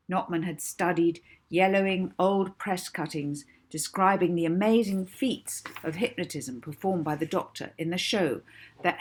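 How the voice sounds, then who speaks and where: A woman narrates a children's novel with expression. Her voice sits a little low and has a husky, breathy edge, suited to storybook reading. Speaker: author reading own novel aloud.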